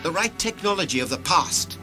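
A man plays a pompous, self-assured ruler in a science fiction radio play. His formal, imperious speech moves in lively swings of pitch, with music behind it. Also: fairly quick. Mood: proud, persuasive. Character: self-assured titled male director of a future ruling cartel. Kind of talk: audio drama acting.

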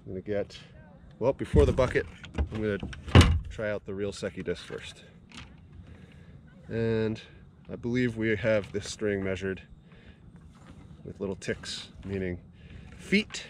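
Low-voiced man explaining from a kayak. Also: measured pace, pauses often. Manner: relaxed, explanatory, thinking aloud with fillers. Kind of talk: casual outdoor demonstration. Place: kayak on a lake outdoors. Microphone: action camera at arm's length. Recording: noisy outdoor camera audio.

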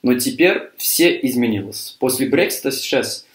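A young man from Cyprus, a native English speaker, answers interview questions as a university student, pausing often with fillers and restarts. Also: mid-range voice, lively intonation. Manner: hesitant, many fillers and restarts. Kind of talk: interview answers in a learned language. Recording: echoey room.

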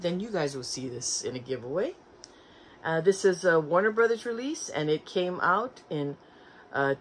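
Low slow-talking woman showing a movie haul. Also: fairly bright tone, slight background noise. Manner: chatty, reads back covers aloud, stumbles on names. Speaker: collector showing a thrift haul. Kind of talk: casual haul video. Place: home room lined with movie shelves. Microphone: camera in front, seated in armchair.

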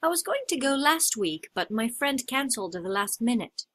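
A young adult woman acting out short scripted everyday dialogues for a listening test, with clear expressive delivery. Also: on the high side, normal speed, a little hiss or hum.

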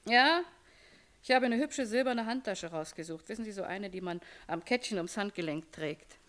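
A woman's voice: mid-range voice, animated, normal speed, a bit bright, natural voice. An actress playing a jaded, world-weary woman shop owner with a shady past, dismissive and brusque, in a German radio crime drama. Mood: chatty, brusque.

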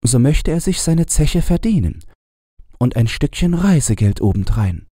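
A man voicing an earnest, lovestruck young innkeeper's daughter in a storybook, with a soft, lightened voice. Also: fairly high pitch, sing-song, measured pace, no room sound, studio quality, voice pushed up. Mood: anxious, coaxing.

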